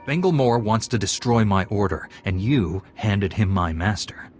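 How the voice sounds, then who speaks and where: An actor playing a steady, principled young warrior apprentice in a video game, measured and firm. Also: male voice, lowish voice, faint music behind, lightly acted. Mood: stern. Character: young male Jedi apprentice, steady, stern, principled hero. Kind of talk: video game cutscene acting.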